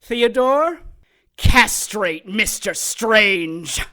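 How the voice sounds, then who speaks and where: An actress playing a sadistic, cynical immortal villainess in an audio drama, taunting in a deliberate, theatrical way. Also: female voice, mid-range voice, lively intonation, pushed and bright, exaggerated voice. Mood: menacing. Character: gleeful immortal female villain who controls corpses, sadistic and cynical.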